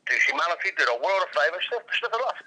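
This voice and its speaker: A man's voice: sits high, lively intonation, racing, bright, forceful tone. A British man on a telephone line, talking casually in a dry, sardonic way and repeating himself. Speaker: phone call taker being pranked. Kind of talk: casual phone conversation.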